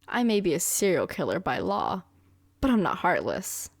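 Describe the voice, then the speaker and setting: A woman's voice: on the low side, wildly swooping pitch, moderate pace, vocal fry, no room sound, exaggerated voice. A woman playing a smug, brilliant, manipulative young serial killer girlfriend in an anime roleplay, boastful and coaxing. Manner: boastful, coaxing, self-satisfied.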